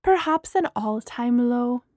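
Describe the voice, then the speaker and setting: Fiery young female best friend played by a woman narrator, audiobook, high and emphatic, dry sound. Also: lively intonation, slow pace, darkish tone, no echo. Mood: glum. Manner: theatrical, emphatic, indignant. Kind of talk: acted audiobook dialogue.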